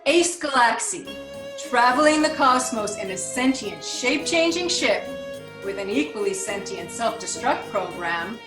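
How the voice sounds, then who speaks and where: A woman's voice: on the high side, animated, unhurried. A woman acting as the theatrical narrator introducing a sci-fi detective audio drama. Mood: dramatic. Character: dramatic female narrator of space detective serial. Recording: echoey, distant, loud music underneath.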